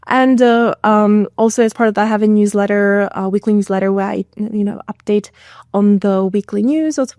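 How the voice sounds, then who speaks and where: A woman's voice: medium pitch, a little mellow, no echo. Chatty woman guest, dry close sound. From Mongolia. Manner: chatty, with many fillers and asides. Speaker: guest, AI content manager. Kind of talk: casual interview talk.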